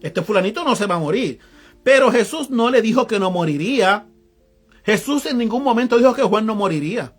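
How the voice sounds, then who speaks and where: Puerto rican evangelist guy preaching, pretty high voice, bit husky, soft music under it. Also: animated, fairly quick. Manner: reads verses aloud then explains them in casual local slang, greets online viewers. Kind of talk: sermon reading and explaining Bible verses.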